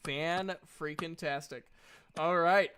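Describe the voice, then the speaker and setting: A man's voice: a little high, fairly even intonation, takes it slowly, pushed and bright, slight background noise. A man narrating a tabletop game as game master, chatting casually with a playful, sweary manner.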